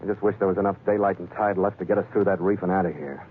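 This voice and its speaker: A man's voice: low voice, steady tone, very fast, slight background noise, lightly acted. A man playing a tough, wary sea captain who narrates and acts in a 1940s radio adventure in a terse, wry manner. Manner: terse, hard-boiled, wry. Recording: narrow old 1940s radio recording, music.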